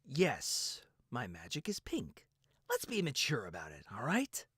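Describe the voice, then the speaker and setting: A man's voice: medium pitch, wildly swooping pitch, unhurried, a bit bright, slightly rough, no echo, studio quality, full character voice. A man voicing a dutiful, earnest young royal guard stallion in a fan audio drama, formal and politely restrained. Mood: embarrassed, restrained.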